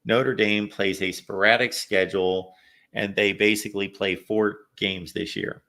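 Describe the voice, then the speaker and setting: A man hosting a live call-in sports show, a little raspy from no sleep, chatting through viewer comments and game analysis. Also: lowish voice, steady tone, a little slow, bright, forceful tone. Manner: reads viewer comments aloud, conversational analysis. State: slightly raspy from lack of sleep. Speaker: host.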